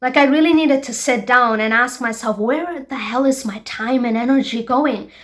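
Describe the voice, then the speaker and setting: A woman's voice: on the high side, moderate pace, fairly bright tone. A woman in her twenties from Bangladesh hosting her own show, talking frankly and emphatically to her viewers. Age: 20s. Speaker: show host. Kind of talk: personal vlog monologue.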